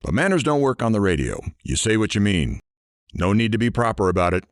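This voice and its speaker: A man's voice: lowish voice, big pitch swings, a little fast, no echo, clean studio sound. A man playing a brash, sarcastic radio DJ in an audio drama, with an irreverent, theatrical, wisecracking manner. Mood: wisecracking, playful. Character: brash, sarcastic radio shock-jock host. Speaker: radio DJ character.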